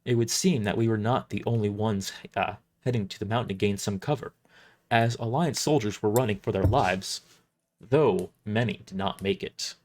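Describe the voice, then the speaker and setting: A man reading first-person dramatic narration for an audio drama, somewhat halting, often restarting his lines. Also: on the low side, a little mellow.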